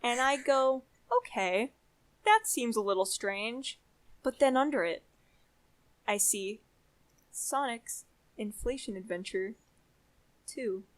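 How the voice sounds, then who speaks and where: A young woman co-hosting a casual chat, retelling things in an animated way with lots of "like" and quoted reactions. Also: on the high side, slow, pauses often. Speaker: podcast co-host.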